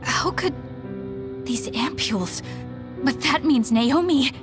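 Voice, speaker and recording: Troubled game heroine, slow halting delivery, highish voice, determined. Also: female voice, animated, over music. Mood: shocked, dismayed. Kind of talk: scripted video game voice acting.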